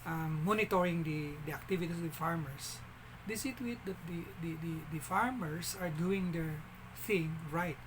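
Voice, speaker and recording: A man with a Filipino accent telling a story in a casual vlog, halting, with frequent restarts and fillers. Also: fairly high pitch, noisy background. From Philippines.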